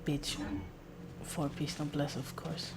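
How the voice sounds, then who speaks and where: A woman's voice: low-pitched, little pitch movement, takes it slowly. A woman game streamer giving casual live commentary in short, muttered reactions. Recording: loud game music behind voice.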